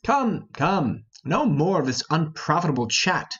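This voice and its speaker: Man reading as a measured, husky peacemaking nobleman. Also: fairly high pitch, big pitch swings, fairly bright tone, highs cut off, exaggerated voice. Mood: placating. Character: reasonable, peacemaking nobleman conspirator. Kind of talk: amateur Shakespearean play reading.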